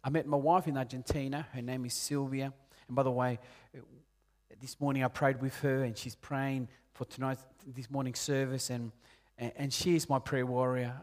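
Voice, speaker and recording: A man in his late forties from Peru, preaching a sermon in an earnest way, rising loud on key points and dropping soft and low. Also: flat delivery, moderate pace. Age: 40s. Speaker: pastor.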